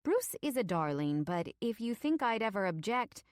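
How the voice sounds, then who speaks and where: A woman's voice: medium pitch, big pitch swings, moderate pace, clean tone, no echo, studio quality, pitched above the performer's own voice, close to an everyday voice. Woman acting an anxious, placating young woman. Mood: nervously placating. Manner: hesitant, placating. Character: anxious, intimidated young woman animal keeper, secretly defiant. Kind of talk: audiobook character acting.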